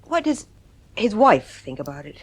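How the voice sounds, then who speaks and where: A woman's voice: medium pitch, big pitch swings, moderate pace, slightly rough. An actress playing a caring but uneasy wife and mother in a futuristic 1950s radio drama. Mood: worried. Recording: vintage radio broadcast recording.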